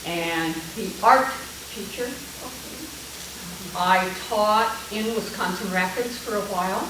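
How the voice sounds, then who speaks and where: A woman in her seventies with a big voice, a retired teacher telling a small group about herself in a lively, joking way. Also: medium pitch, slow pace. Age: 70s. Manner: lively, chatty, jokey storyteller. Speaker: guest speaker, art teacher. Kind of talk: casual talk to a small group. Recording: echoey distant room sound with hiss.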